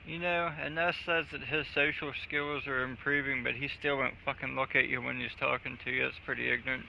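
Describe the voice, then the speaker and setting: A man's voice: mid-range voice, level tone, brisk, vocal fry, bright, forceful tone, slight background noise. A man chatting casually on a gaming live stream in a crude, profane, joking way. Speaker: video game live streamer. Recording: dull lo-fi audio, faint music.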